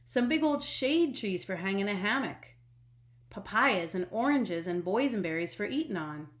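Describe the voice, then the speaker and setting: A woman's voice: mid-range voice, expressive, moderate pace, natural voice. A woman voicing a folksy, plain-spoken, commanding mother figure in a storybook reading. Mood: folksy, bossy. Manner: folksy Southern-style colloquial phrasing, plain-spoken, commanding. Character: Big Momma, folksy no-nonsense mother creator, pleased with her work. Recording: echoey room.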